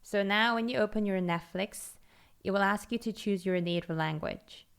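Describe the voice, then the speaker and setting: A woman whose first language is Korean, calmly walking through a tutorial as a scripted voice-over, explaining steadily step by step. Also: mid-range voice, moderate pace, gravelly. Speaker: language-learning video host.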